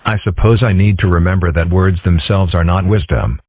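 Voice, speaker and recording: Man as a deadpan cartoon critter, low and husky. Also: a little fast, no echo. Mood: wry. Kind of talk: comic strip dub. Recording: muffled phone-like band.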